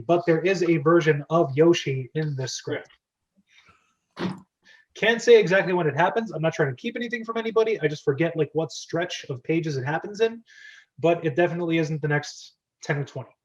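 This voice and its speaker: A man's voice: a little high, lively intonation, fairly quick. A man hosting a casual group chat in a chatty, rambling, enthusiastic way. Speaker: host of a script-reading club. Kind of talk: casual group chat over video call. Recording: dull, lo-fi call audio.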